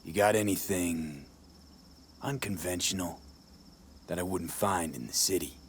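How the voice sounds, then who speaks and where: A wary mercenary, practical and questioning, lowish voice, faint noise, game dialogue. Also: male voice, measured pace. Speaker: game protagonist.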